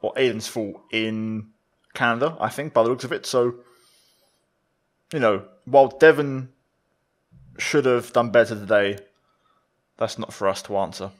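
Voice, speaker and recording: A man giving live commentary on a game as he plays it, thinking out loud. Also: mid-range voice, unhurried, long pauses. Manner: thinks aloud while playing. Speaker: gaming video creator, player.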